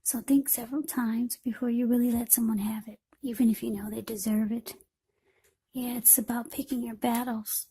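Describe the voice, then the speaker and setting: A woman tarot reader with a husky, breathy voice, speaking informally to the viewer in short, unscripted phrases. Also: fairly high pitch, little pitch movement, normal speed, warm tone.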